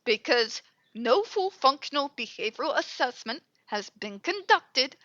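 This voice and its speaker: A stuffed-up woman talks through a headset, blunt and exasperated, cursing without a second thought. Her voice is bright and on the high side. The sound is dry and a little dull. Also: sing-song, measured pace, dry recording. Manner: blunt, exasperated, swears freely. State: stuffed up, congested. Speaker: commentary channel host. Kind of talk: ranting commentary.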